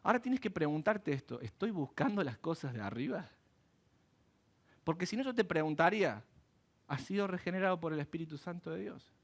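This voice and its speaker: A man preaching a sermon in church, animated and asking rhetorical questions. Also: a little high, fairly quick. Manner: animated, acts out quoted voices and asks rhetorical questions. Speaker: pastor.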